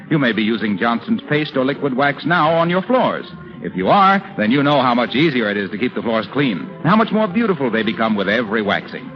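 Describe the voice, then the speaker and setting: A man with an American accent as a polished 1930s radio announcer, reading a script in a declamatory way. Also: medium pitch. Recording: dull 1930s radio recording with music.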